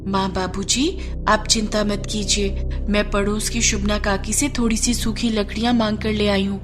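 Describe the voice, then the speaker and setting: Woman playing caring ten-year-old village girl, earnest, storybook drama, clear background music. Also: mid-range voice, little pitch movement, normal speed, lightly acted. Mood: reassuring.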